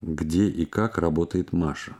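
Casual: deep mellow guy reading language drills, slow and super clearly spoken. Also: steady tone. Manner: slow, careful, clearly enunciated. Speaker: language course reader.

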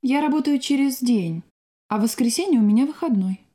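A woman reading scripted sentences and exercise prompts for a language course in a clear, measured way. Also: high-pitched, expressive, warm tone. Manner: clear, measured, textbook delivery.

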